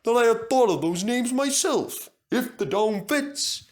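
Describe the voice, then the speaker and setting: A man's voice: extremely high pitch, animated, measured pace, voice pushed up. A man voicing a plucky, polite, honest male tank engine in a storybook, earnest and emphatic.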